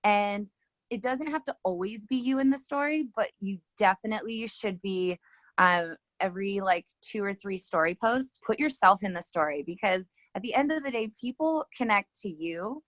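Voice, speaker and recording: A woman's voice: medium pitch, expressive, moderate pace, fairly bright tone, no room sound, low-quality recording. Rambling woman giving social media tips. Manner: rambling, lots of ums and restarts. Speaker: guest social media coach. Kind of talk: casual advice chat.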